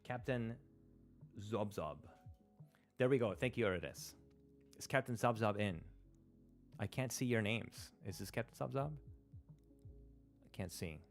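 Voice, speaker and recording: A man's voice: lowish voice, measured pace, pauses often. Stream host, man in his thirties, first language Spanish, casual and hesitant, faint music under the voice. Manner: thinks aloud, hesitant, many fillers and restarts. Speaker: livestream host. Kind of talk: casual live-stream commentary while playing. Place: small room at a desk. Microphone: close studio mic on a stand.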